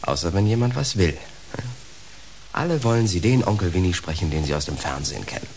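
A resigned, devoted young man in a radio play. He speaks in a low, languid voice with wry, wistful irony, on a faintly hissy recording. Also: lively intonation, a little fast, darkish tone. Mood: wry, weary. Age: young adult. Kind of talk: radio drama acting.

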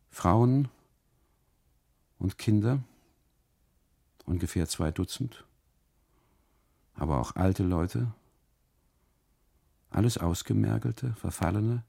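A man narrating a literary radio monologue in deliberate phrases, with dramatic pauses and emphasis. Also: low-pitched, gravelly, warm tone, breathy edge. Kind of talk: literary first-person narration, 1980s German radio play.

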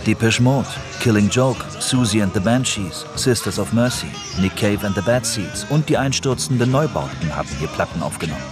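A man's voice: on the low side, expressive, normal speed, few pauses. A man reading scripted narration for a radio documentary. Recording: music playing under the voice. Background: music with guitar.

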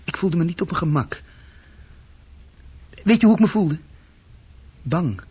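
Insecure young male clerk, radio drama narrator, hesitant, reflective, slightly hoarse timbre, dull lo-fi sound. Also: fairly high pitch, expressive, a little slow, exaggerated voice. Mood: uneasy. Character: uneasy, insecure young male junior clerk recounting his story. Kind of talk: radio play acting, intimate first-person narration. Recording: dull, muffled old radio recording.

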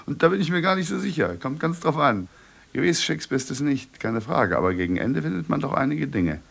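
A man playing a studio colleague who keeps unruly companions in order, gravelly, in conversational radio drama style. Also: fairly high pitch, big pitch swings, a little fast, full character voice. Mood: matter-of-fact.

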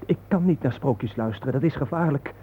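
A man's voice: medium pitch, a little fast, lightly acted. Radio play, tinny sound with slight hiss: male actor as defensive, anxious sceptic, a family man, agitated delivery. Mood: defensive, bitter. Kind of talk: radio drama acting. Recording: muffled, noisy old radio play recording.

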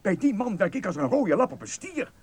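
A man's voice: high voice, very animated, brisk, a little mellow, roomy sound. An actor playing a timid, childish, easily panicked male store assistant in a Dutch radio play, stammering anxiously. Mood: nervous.

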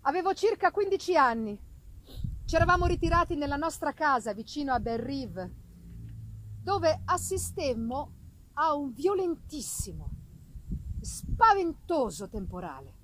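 High-pitched woman reading a dramatic story. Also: poor audio. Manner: expressive, theatrical, deliberate phrasing with pauses. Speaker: reader of a literary audiobook. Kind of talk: dramatic literary narration. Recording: live reading with noticeable background noise.

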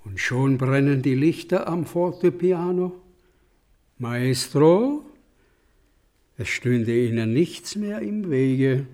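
A man playing a cultured, genteel salon gentleman in a German radio play, with a formal, mannered delivery. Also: mid-range voice, lively intonation, slow pace.

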